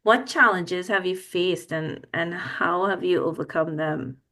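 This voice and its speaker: A woman hosting an interview podcast, encouraging her guest in a halting, conversational way. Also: fairly low pitch, pushed and bright. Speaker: podcast host.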